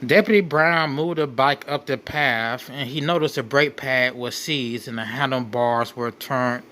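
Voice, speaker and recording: A man hosting a crime commentary, reading out case details in a halting way and often repeating his phrases. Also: medium pitch, sharp tone, a little hiss or hum. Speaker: show host.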